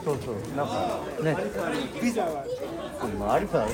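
友人同士でくだけた雑談をする男性のミュージシャン。. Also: male voice, mid-range voice, lively intonation, unhurried. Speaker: musician. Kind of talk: casual chat among friends. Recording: street recording with background chatter. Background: outdoor street crowd noise.

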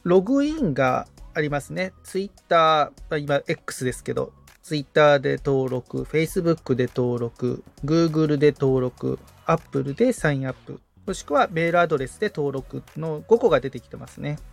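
A man's voice: on the high side, expressive, moderate pace, gravelly, hardly any pauses, faint music behind. A man assisting on a tutorial podcast, agreeably reading out steps as he follows along politely. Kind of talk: casual, polite walk-through of on-screen steps.

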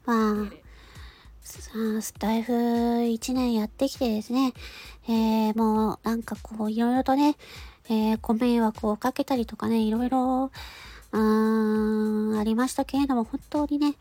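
A woman with a nasal, stuffy, cute anime-like voice chats casually and politely to listeners in short, halting phrases. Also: a little high, steady tone. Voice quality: cute, cartoon-like voice. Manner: polite, giggly, halting phrases ending in a soft filler. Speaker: amateur voice actor hosting a personal audio channel.

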